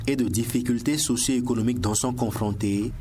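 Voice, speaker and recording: A man's voice: mid-range voice, steady tone, a little fast, darkish tone. A man reading a scripted TV news report as the reporter. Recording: noisy voice-over with ambient sound.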